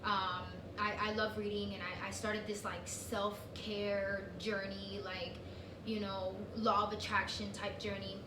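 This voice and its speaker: A woman whose first language is Spanish chats casually as a co-host, full of fillers and restarts. She sits back from the mic in an echoey room. A steady hiss of noise runs under her voice. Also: mid-range voice, unhurried, distant mic. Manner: chatty, lots of fillers and restarts. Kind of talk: casual chat.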